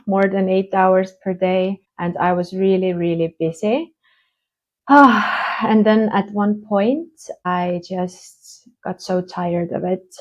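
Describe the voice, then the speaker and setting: Slow-spoken woman from Estonia. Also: on the low side, a little mellow. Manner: slow, hesitant, with many fillers. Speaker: conference speaker. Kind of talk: keynote talk. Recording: dull lo-fi online stream.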